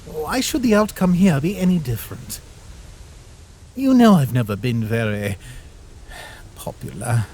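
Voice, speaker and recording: Earnest skeleton little brother, swooping pitch. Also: male voice, sing-song, a little slow, pauses often, a little mellow, a little hiss or hum. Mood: downhearted. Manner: formal, theatrical wording. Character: earnest, dramatic, downhearted young skeleton brother. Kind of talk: animated comic dub acting.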